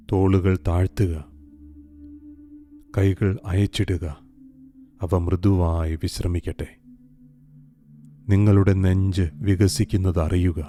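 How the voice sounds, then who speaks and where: Man, meditation guide, very deep voice, unhurried, mellow tone, faint music underneath. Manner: slow, soft, calm instructions with long pauses.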